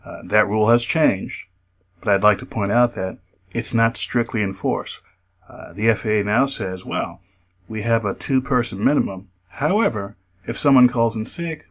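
A man speaking as an expert guest in a radio interview, explaining in a measured, careful way. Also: lowish voice.

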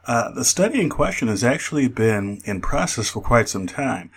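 A man speaking as an expert guest in a radio interview, explaining in a measured, careful way. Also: medium pitch, big pitch swings, husky.

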